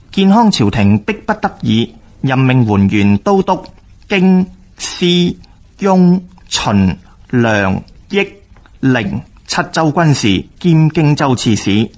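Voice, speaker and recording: A man with a Hong Kong Cantonese accent reads scripted historical radio narration in a formal, measured way. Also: mid-range voice, darkish tone.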